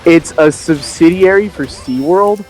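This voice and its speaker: High-pitched young American man, slangy banter. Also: unhurried, darkish tone. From Florida, United States. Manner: profane, slangy, repeats phrases for emphasis. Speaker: game streamer in a group call. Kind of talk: casual animated banter among friends during a game stream. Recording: music behind the voice. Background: music.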